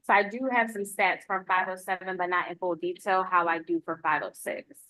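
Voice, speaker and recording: Casting: woman, police lieutenant reporting to a community meeting, lively intonation on a slightly low voice, dry sound. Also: fairly quick, dry recording, poor audio. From United States. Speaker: police lieutenant giving a public safety report. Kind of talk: informal spoken report at a public meeting.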